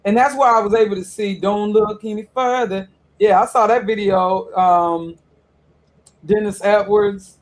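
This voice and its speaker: A man hosting a casual livestream chat about music videos, animated and exclaiming as he thinks aloud. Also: sits high, unhurried. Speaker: host.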